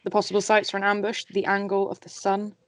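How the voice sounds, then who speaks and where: A woman's voice: medium pitch, completely flat delivery, fast talker, a little hiss or hum. A woman narrating stage directions in an amateur script table read.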